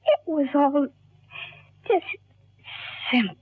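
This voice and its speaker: Distraught dying woman in old radio drama, high swoopy voice, halting n pleading, thin muffled sound. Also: sing-song, no room sound, full character voice. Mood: anguished, fading. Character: distraught woman confessing to a doctor as she dies. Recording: muffled, phone-thin vintage radio recording.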